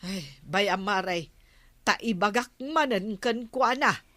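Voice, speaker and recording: A woman's voice: mid-range voice, takes it slowly, bright, forceful tone, no echo. A woman with an Ilocano accent playing a village captain's nagging, exasperated wife in a radio comedy drama. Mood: complaining.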